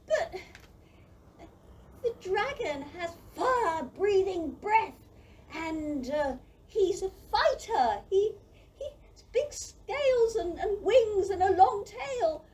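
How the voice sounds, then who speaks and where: A woman's voice: very high voice, big pitch swings, slow pace, darkish tone, exaggerated voice. A woman playing an earnest, pleading young farm boy in an audio play. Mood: upset, puzzled. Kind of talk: community audio play acting. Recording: distant mic with faint background noise.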